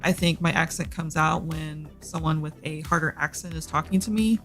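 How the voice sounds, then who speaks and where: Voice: mid-range voice, moderate pace, fairly bright tone, soft background music. A streamer from Texas with a Southern accent, chatting casually and rambling with many fillers while hosting a reading sprint. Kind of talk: casual livestream chat. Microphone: desk microphone, headset off.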